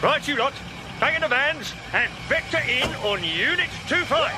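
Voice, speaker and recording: High-pitched, brusque English police sergeant. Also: male voice, expressive, moderate pace, poor audio. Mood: brisk, commanding. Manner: brusque, commanding. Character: harassed, brusque male London police sergeant. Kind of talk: audio horror drama acting. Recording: loud music and street bustle behind.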